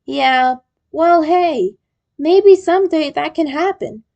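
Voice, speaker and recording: A woman's voice: sits high, slow pace, creaky, dark tone, dull audio, voice pushed up, full character voice. A woman voicing a kind, shy young friend with a crush in a read-aloud story. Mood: friendly, reassuring. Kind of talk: story character dialogue.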